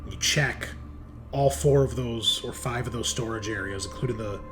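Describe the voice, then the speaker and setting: A man's voice: medium pitch, normal speed, fairly bright tone, soft background music. A man speaking as game master, casually improvising narration for a tabletop game. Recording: clearly audible background noise.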